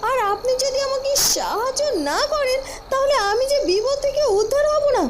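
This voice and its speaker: A woman's voice: very high voice, lively intonation, brisk. A woman voicing a scheming, impatient young noblewoman in a cartoon, with a fretful, dramatic delivery. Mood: pleading. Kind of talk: Bengali cartoon voice acting.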